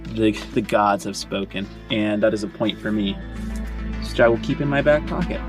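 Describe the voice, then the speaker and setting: A man's voice: on the low side, normal speed. A man hosting and narrating a tabletop game as game master, with casual, conversational storytelling. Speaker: game master and show host. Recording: background music under voice. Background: music.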